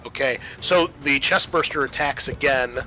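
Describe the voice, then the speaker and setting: Bright-voiced man running a tabletop game. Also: mid-range voice, normal speed, faint music behind. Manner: informal, swears casually. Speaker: game master. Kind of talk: running a tabletop roleplaying game, casual rules explanation.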